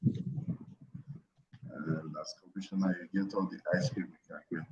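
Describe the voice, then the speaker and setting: A man's voice: low voice, steady tone, unhurried, darkish tone, breathy edge, lo-fi sound. A man hosting an education discussion show, jovially teasing his guest and introducing topics. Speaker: show host.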